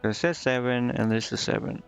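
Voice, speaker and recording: Gravelly male game streamer, music behind. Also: medium pitch, fairly quick. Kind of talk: casual gaming stream commentary, reading game text aloud and thinking out loud.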